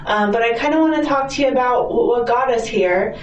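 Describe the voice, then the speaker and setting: Female American detective, sympathetic interviewer with a fairly high voice, caught on a dull, distant room mic. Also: fairly quick. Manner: sympathetic, frequent checking questions. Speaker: police detective. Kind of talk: police interview questioning. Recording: distant echoey mic, dull audio, faint noise.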